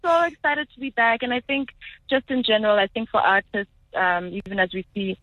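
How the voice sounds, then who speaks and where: A woman's voice: a little high, steady tone, brisk, dry recording. A South African woman speaking as a singer and guest in a casual radio interview, upbeat and gracious in manner. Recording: dull lo-fi radio feed.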